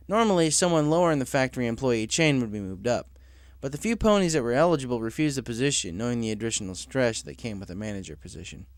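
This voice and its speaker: A man's voice: mid-range voice, no room sound. A man reading scripted fiction aloud as a story narrator.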